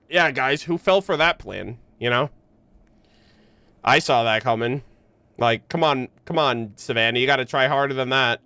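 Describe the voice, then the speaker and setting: A man's voice: mid-range voice, animated, a little slow, vocal fry, pushed and bright, clean tone, no room sound. A young man streaming a game with casual, slangy commentary, joking and incredulous. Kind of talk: casual live gameplay commentary.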